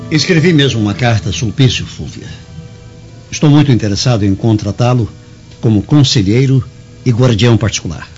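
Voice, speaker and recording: A man's voice: medium pitch, fairly quick, a little mellow, close to an everyday voice. A scheming Roman administrator played by a man in a radio drama. He speaks with self-important assurance, on a dull recording with faint music. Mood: defensive, assured.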